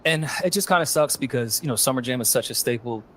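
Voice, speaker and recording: A man co-hosting a talk show, chatting and asking questions in a relaxed way. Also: mid-range voice, fast. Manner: questioning, conversational. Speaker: podcast co-host. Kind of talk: casual chat.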